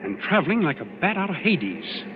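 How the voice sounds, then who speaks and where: Naval officer for vintage radio thriller: slightly high, very animated, breezy yet protective, through heavy noise. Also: male voice, a little fast. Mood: uneasy, evasive. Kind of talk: 1940s radio thriller acting. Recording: muffled, very noisy 1940s radio recording.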